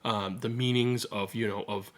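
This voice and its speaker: A host reading a picture book aloud with animated narration and chatting casually about it. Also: mid-range voice, normal speed.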